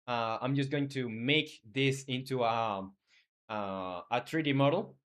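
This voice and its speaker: A man's voice: mid-range voice, unhurried, a bit bright. Casual man chatting on stream. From Chile. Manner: informal, hesitant, reads viewer messages aloud and replies. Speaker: game developer live streamer. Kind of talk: casual livestream chat.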